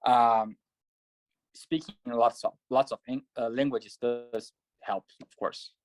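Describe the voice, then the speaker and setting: A man talks through his answers in a measured way, pausing on fillers as he thinks. His voice sits at a middle pitch for a man. The sound is dry and close, with no room echo. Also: fairly even intonation. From Brazil. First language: Portuguese. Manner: many fillers, thoughtful and measured. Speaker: pro triathlete and coach. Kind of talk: casual interview answers.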